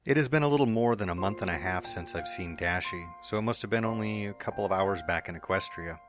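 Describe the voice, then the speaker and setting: A man with a very low voice reads a dramatic story in the first person. His delivery is steady and earnest, with quiet music running underneath. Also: quick pace. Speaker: narrator. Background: soft background music.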